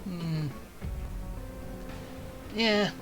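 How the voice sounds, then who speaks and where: A woman's voice: on the low side, very slow, music in the background, rough recording. A woman streaming a video game with casual, offhand commentary in short, amused remarks. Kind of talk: casual let's play commentary.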